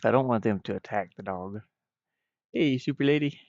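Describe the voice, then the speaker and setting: A man chatting casually on a livestream as a game developer, speaking in a halting way and often trailing off. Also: a little mellow, no echo. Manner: hesitant, halting, frequently trailing off. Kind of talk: casual stream chat. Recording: muffled, dull low-fidelity stream audio.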